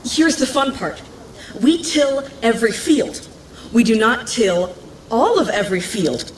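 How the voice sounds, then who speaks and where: A woman's voice: fairly high pitch, sing-song, unhurried, echoey room, slight background noise, rough recording. An actress playing a bold, clever young townswoman in an audio drama, speaking in an earnest, persuasive, impatient manner. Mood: confident, persuasive.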